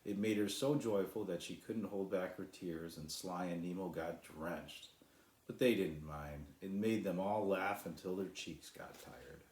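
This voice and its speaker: A man's voice: sits low, steady tone, a little slow, a little mellow, slight background noise. A man narrating a children's storybook as its author, reading aloud. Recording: roomy echo.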